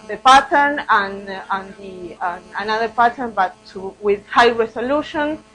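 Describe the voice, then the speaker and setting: A woman's voice: fairly high pitch, fairly bright tone, slight background noise, low-quality recording. A woman researcher presenting study results at a conference, speaking hesitantly and often repeating words.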